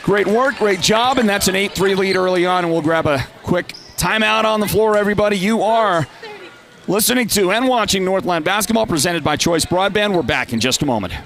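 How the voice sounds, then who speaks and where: A man with a nasal, congested voice from a lingering cold, calling a live high school basketball game in a chatty way and raising his voice on big plays. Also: fairly quick. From Northern Arizona. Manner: chatty, rises to loud calls on big plays. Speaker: play-by-play announcer.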